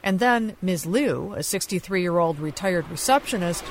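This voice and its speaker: A woman's voice: lowish voice, animated, moderate pace, slight background noise. A woman correspondent narrating a scripted radio news report. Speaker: foreign correspondent.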